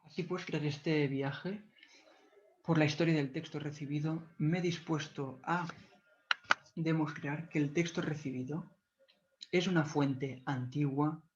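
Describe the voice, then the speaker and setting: A man whose first language is Catalan gives a measured, devout explanatory talk, often pausing to correct himself. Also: fairly high pitch, steady tone, background noise. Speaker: video lecturer. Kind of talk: explanatory talk on church history. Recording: dull lo-fi recording.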